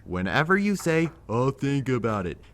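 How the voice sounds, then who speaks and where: A man's voice: medium pitch, sing-song, moderate pace, fairly bright tone. An actor playing a blunt young man who pushes his friend with firm, lecturing tough love in an audio drama.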